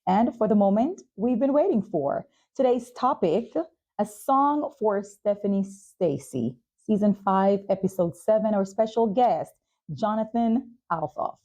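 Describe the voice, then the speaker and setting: Female podcast host, mid-pitched and mellow, polished delivery for sponsor reads and interviews. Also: animated, measured pace. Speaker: talk show host.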